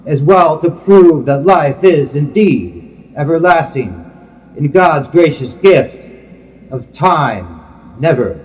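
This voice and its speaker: A man recites religious poetry in a solemn, declamatory way. His voice sits a little higher than average and moves very slowly and deliberately. Long reverb surrounds each measured phrase, as if in a large hall. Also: expressive, darkish tone. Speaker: poet reading own work.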